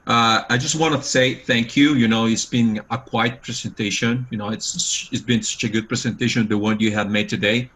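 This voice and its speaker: A man's voice: medium pitch, steady tone, moderate pace, hardly any pauses, a bit bright. Earnest man from El Salvador. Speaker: participant. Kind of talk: thanking the speaker on a video call.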